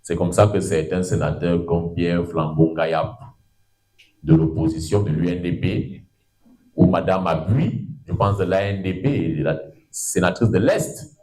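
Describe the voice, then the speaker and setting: A middle-aged man with a Cameroonian French accent giving a university law lecture, lively and digressive, his pitch leaping up for emphasis and his words drawn out. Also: poor audio. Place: lecture room.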